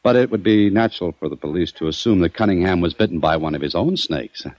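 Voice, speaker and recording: A man's voice: low-pitched, quick pace, a little mellow, no room sound, natural voice. An actor playing a shrewd, cool-headed investigator in an old-time radio crime drama, commanding and sardonic as he needles his suspect. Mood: coolly accusing. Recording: dull vintage radio recording.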